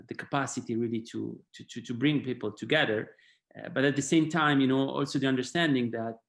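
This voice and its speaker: Italian-accented man, gravelly, hesitant with many fillers, video call panel. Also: mid-range voice. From Italy. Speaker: panelist, head of policy at a sports human rights body. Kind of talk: online panel talk with slides.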